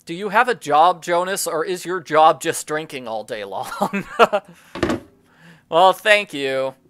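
A man's voice: on the high side, animated, takes it slowly, noisy background. A man giving casual, jokey game walkthrough commentary, musing aloud as he plays.

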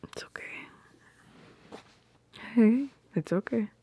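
A woman voicing a tender, playful, possessive girlfriend in an ASMR roleplay, speaking softly and intimately close to the microphone in a breathy, often whispered voice. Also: on the high side, lively intonation, exaggerated voice. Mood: soothing, tender. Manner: soft, intimate, unhurried, teasing. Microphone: close microphone, dry.

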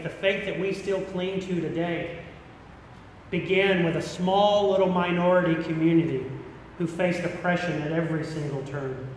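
A middle-aged American man preaching a sermon as a pastor, speaking earnestly and deliberately with emphatic repetition. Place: large church interior. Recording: echoey hall with rumbling background noise.

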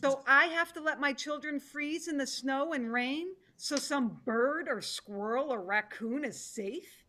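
A woman's voice: high voice, unhurried, hardly any pauses, bright, forceful tone. A woman in a dramatic play reading, playing a defiant village mother with an emphatic, challenging manner. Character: defiant village mother protecting her own needs.

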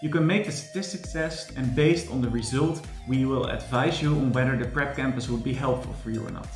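A young man speaks earnestly into a desk webcam, laying out details point by point with frequent "furthermore" and small restarts. His voice sits at a middling pitch in a reverberant room, with soft music underneath. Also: fairly quick. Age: young adult. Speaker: course supervisor and tutor. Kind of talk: promotional talk to camera. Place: room with large windows, indoors. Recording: echoey room with faint music.

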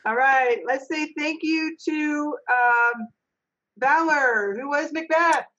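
A woman's voice: high voice, pushed and bright, lo-fi sound. A middle-aged woman acting as stage manager, cheerfully thanking each reader in a casual group video call from her home office. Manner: upbeat, encouraging, brisk announcing. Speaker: stage manager hosting an online reading. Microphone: webcam on a video call.

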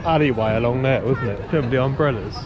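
A man's voice: medium pitch, very fast, dull audio. A man in his thirties chatting casually while filming in an outdoor food market, full of curious questions. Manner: chatty, easily amused, asks lots of questions. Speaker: vlogger. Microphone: handheld action camera. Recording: outdoor street sound, music and crowd behind. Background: loud music and crowd chatter.

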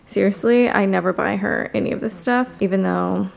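A woman's voice: medium pitch, fairly quick, gravelly. Chatty young woman vlogging at home. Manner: bubbly, laughs often, lots of "like". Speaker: lifestyle vlogger. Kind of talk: casual chatty vlog. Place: home kitchen and living room. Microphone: handheld vlog camera.

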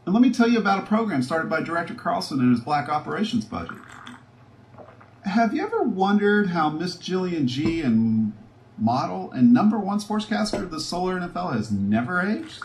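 A man's voice: high-pitched, big pitch swings, moderate pace, darkish tone. A man playing a foul-mouthed, paranoid, scheming scientist in a theatrical sci-fi audio drama, talking with gloating menace. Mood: urgent, scheming. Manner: muttering to himself, theatrical, swinging between glee and panic. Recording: roomy echo with background noise.

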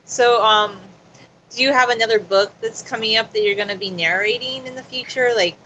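A woman's voice: on the high side, a little fast, sharp tone, poor audio. A young woman interviewer asking polite, hesitant questions with many ums on a video call from a room at home. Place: room indoors.

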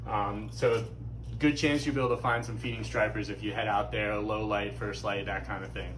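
A man, giving a steady, practical fishing report from inside a tackle shop. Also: on the low side, moderate pace, slight background noise, low-quality recording. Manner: steady, practical, product-focused.

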